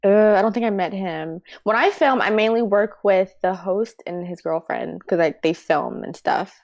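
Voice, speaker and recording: A woman's voice: medium pitch, moderate pace, gravelly, a little mellow, no echo, muffled recording. A young American woman from the Atlanta area, chatting casually as a playfully insistent, giggly podcast guest. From Atlanta area, United States. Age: young adult. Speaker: guest sports writer. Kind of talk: casual chat.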